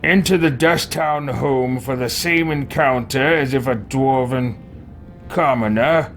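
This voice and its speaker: A man's voice: medium pitch, takes it slowly. A man streaming a video game, musing and reading a guide aloud in a theatrical let's play style. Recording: game music behind the voice. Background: video game music.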